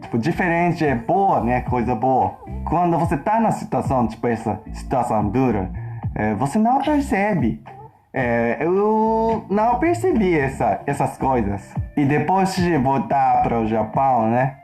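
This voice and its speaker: A Japanese man speaking in halting learner's speech, searching for words as he gives a personal account, with faint music behind. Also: medium pitch, sing-song, dark tone. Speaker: interviewee in a played video.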